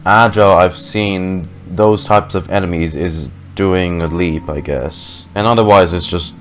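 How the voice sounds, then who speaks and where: A man's voice: sits low, a little slow. A young man casually reacting to a game in playful, slangy asides. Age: young adult. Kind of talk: casual live reaction commentary. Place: small indoor room. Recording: game music and dialogue behind.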